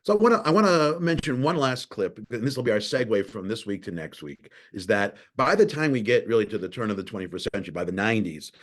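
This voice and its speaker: Brief: male professor, lecture delivery, low-ish voice, stumbles and restarts. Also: animated, quick pace, few pauses. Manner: thoughtful, often repeats and restarts words.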